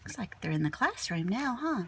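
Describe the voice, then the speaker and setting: A woman's voice: fairly high pitch, very animated, brisk, fairly bright tone, slightly rough. A woman teacher reading a picture book aloud expressively, with chatty asides aimed at young children. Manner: addresses young listeners with simple questions and asides.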